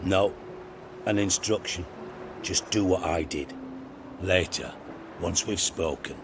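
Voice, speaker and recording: A man's voice: low voice, takes it slowly, background noise. A man playing a cynical, crude-tongued older spymaster in a video game cutscene, blunt and theatrical in delivery. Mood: wry storytelling.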